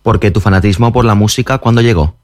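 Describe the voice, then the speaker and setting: Guy interviewing, low voice, short prompts and questions, dry close mic. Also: fast talker, a little mellow, dry recording.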